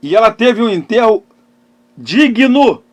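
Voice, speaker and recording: A middle-aged man preaching and giving personal testimony as a pastor, emphatic, with dramatic pauses and swings between loud and hushed phrases. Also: high-pitched, very animated. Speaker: pastor and therapist hosting a live talk.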